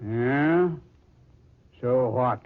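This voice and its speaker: Brash policeman, slangy, excitable, radio drama, tinny phone-like old recording. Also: mid-range voice, drawn-out words. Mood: skeptical mocking.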